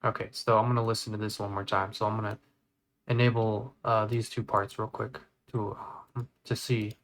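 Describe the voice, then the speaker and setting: A man giving a casual step-by-step software tutorial, informally thinking aloud as he works. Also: on the low side, little pitch movement, moderate pace. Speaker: tutorial creator. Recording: clear background noise, mouse clicks.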